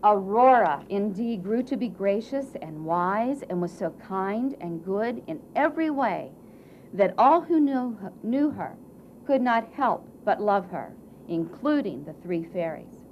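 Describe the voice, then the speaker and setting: A woman narrating a scripted fairy tale for a puppet show in a theatrical way, with dramatic pauses and emphasis. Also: medium pitch, very animated. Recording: muffled, faint background noise.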